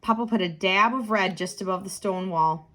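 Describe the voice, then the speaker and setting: A woman narrating a picture book for children, expressive and deliberate, lingering on key words. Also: medium pitch. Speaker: tutor reading a children's book aloud.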